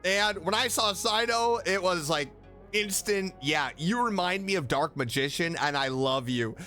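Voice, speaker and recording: A man giving casual, excitable and playful reaction commentary as a video streamer, gushing with enthusiasm. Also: high-pitched, unhurried, faint music behind. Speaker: YouTube streamer reacting to game voice lines.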